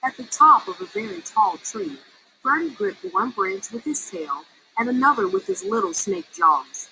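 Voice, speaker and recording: A woman reading a children's story aloud in an expressive storytelling style. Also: mid-range voice, normal speed, slight background noise, rough recording. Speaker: story reader.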